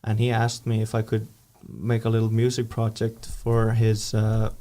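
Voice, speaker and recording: A man, the band's founder, talking in a relaxed, unhurried way in a radio studio. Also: fairly low pitch. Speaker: band founder guest. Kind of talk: casual interview chat.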